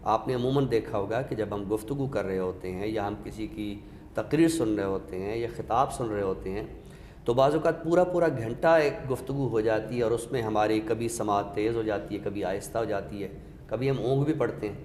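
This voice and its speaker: A man with a Pakistani accent giving a deliberate, didactic explanation in a literary discussion, pausing for emphasis. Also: lowish voice, a little mellow. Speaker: literary scholar and guest speaker. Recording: echoey reverberant room with background noise.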